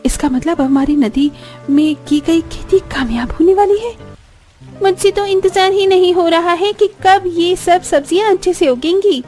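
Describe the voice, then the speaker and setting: A woman's voice: very high voice, unhurried, warm tone. A woman voicing an earnest, hardworking village daughter-in-law in a cartoon story, with music behind her. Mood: excited.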